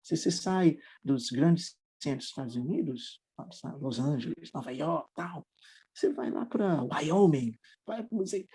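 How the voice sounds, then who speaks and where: A man's voice: on the high side, animated, measured pace, mellow, husky. A middle-aged man, a guest English teacher thinking aloud in a reflective, hesitant way with many restarts. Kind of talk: conversational podcast interview.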